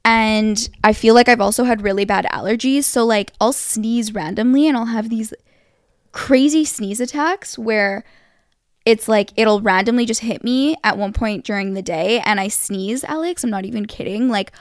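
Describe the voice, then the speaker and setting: A young woman co-hosting a podcast, chatting casually with animated reactions and many fillers. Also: a little high, normal speed, dry recording, studio quality. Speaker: podcast co-host. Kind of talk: casual chat.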